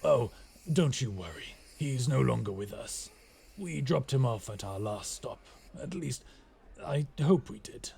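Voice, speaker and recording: A man's voice: medium pitch, animated, a little slow, creaky, darkish tone, a little hiss or hum. A man playing a roguish, mercenary skyship captain with wry, courteous, old-fashioned speech in an immersive audio roleplay. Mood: wry, brisk. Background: ambient sound effects.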